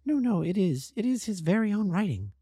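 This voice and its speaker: A man voicing an anxious, earnest Victorian wife, raising his voice high and pleading. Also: big pitch swings, brisk, mellow, slightly rough, dry recording, studio quality, heavily acted.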